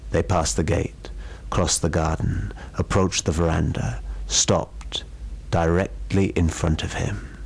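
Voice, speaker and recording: Male storyteller, low-pitched, dramatic narration of eerie tales. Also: flat delivery, slow, gravelly, husky. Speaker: storyteller reading a ghost story.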